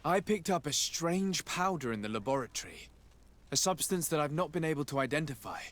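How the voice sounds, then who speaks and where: An actor playing a composed, formal noble envoy who asks polite, pointed questions in a fantasy game. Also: male voice, a little high, lively intonation, brisk, fairly bright tone, a little hiss or hum. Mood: earnest. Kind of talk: scripted fantasy game acting.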